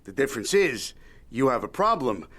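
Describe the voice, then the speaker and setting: An actor playing a shrewd, folksy old media mogul in an audio drama, haggling in a wry, needling, Yiddish-peppered way. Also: male voice, mid-range voice, big pitch swings, normal speed, a bit bright. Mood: wry, unbudging. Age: older.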